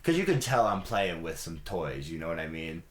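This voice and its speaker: A young man with a low, raspy voice, talking casually as a podcast host. His speech is loose and full of restarts and fillers. The room around him rings with a noticeable echo. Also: very animated, moderate pace. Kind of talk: casual chat. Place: home studio.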